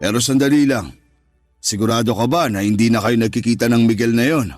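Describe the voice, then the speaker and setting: A man's voice: mid-range voice, fairly quick, darkish tone, no echo, studio quality, soft background music, close to an everyday voice. A man with a Filipino accent playing a wealthy, jealous, controlling businessman lover in a Tagalog radio drama. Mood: suspicious, threatening. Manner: controlling, alternately reassuring and threatening.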